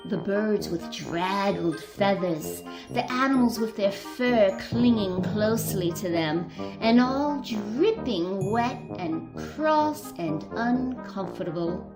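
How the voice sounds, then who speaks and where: Slow-paced woman storyteller. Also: mid-range voice, expressive, over music, poor audio. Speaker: narrator. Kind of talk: scripted storybook narration for radio play.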